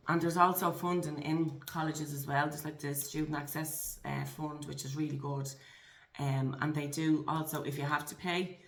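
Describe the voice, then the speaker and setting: A woman's voice: low-pitched, reverberant, a little hiss or hum. A woman in her forties with an Irish accent, a guest talking earnestly and encouragingly in a conversational interview, with frequent restarts. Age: 40s.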